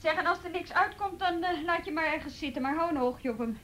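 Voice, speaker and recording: An actress playing a brisk, commanding female police investigator, businesslike and directive in a naturalistic radio drama. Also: very high-pitched, bright, forceful tone.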